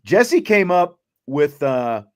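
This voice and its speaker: A man's voice: fairly high pitch, big pitch swings, takes it slowly. Man hosting unscripted sports talk. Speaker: sports talk show host.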